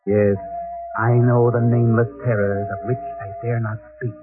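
A man's voice: mid-range voice, little pitch movement, close to an everyday voice. The Whistler, voiced by a man, an ominous narrator. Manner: slow, ominous. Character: mysterious adult male night-walking narrator, ominous. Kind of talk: 1940s radio drama narration. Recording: tinny old radio with music.

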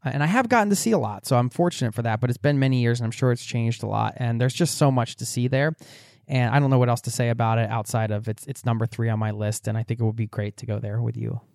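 A man talks in an earnest, upbeat, rambling way, his pitch often lifting as he builds a thought. His voice sits in a middle range for a man. The recording is dry and close. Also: fast talker, gravelly, a little mellow, dry recording. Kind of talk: casual chat.

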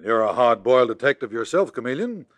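An actor playing a hard-boiled but sympathetic police commissioner in an old-time radio mystery. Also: male voice, medium pitch, big pitch swings, fast, dry recording, lightly acted. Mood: grave, sympathetic.

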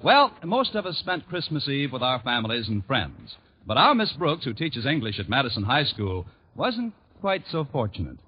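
A man's voice: medium pitch, huge pitch swings, normal speed, faint music behind. A man announcing a sponsored comedy show in 1940s radio style. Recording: dull vintage 1940s radio recording.

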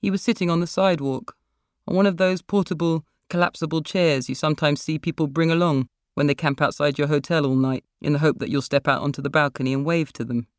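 A woman's voice: deep voice, fairly even intonation, quick pace, no echo. Woman narrating fiction, dry close sound. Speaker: author reading own story. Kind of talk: literary short-story narration.

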